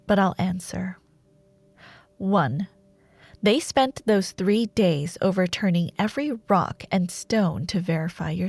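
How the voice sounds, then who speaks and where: A woman playing a taunting, imperious dark warlord sorceress in a roleplay audio drama, speaking in a theatrical, sardonic way with lingering pauses. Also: mid-range voice, no room sound.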